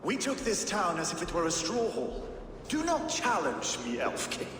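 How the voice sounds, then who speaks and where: An actor playing a hot-tempered, boastful Danish Viking warlord in a video game, menacing and theatrical. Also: male voice, sits high, huge pitch swings, moderate pace, fairly bright tone, far from the mic, a little hiss or hum, exaggerated voice. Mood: proud defiance. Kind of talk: dramatic video game acting. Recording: echoey hall, music behind.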